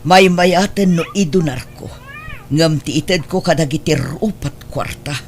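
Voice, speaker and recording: A woman's voice: low voice, unhurried. A woman playing a stern, wealthy middle-aged mother in an audio drama, firm and reproachful, with a Northern Philippine accent. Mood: resolute, calm. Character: stern, wealthy ailing adoptive mother putting her affairs in order. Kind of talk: emotional audio drama acting. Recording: clear background noise.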